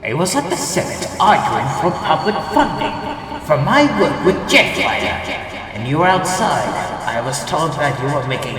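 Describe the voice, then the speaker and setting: Sly, needling robot lieutenant, highish and wry, theatrical, long reverb with music behind. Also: male voice, very animated. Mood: amused, nostalgic. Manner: theatrical, wry, drawn-out teasing. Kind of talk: dramatic comic dub acting.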